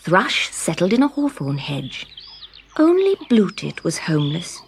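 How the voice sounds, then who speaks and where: A woman reading a scripted children's story in an expressive, deliberate storytelling manner. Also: lowish voice, vocal fry, slight background noise. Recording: background music under the reading.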